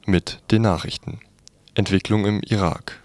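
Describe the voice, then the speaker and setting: A man with a markedly deep voice presents on the radio. He reads prepared links and announcements in a scripted style. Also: fairly even intonation, measured pace, over loud music.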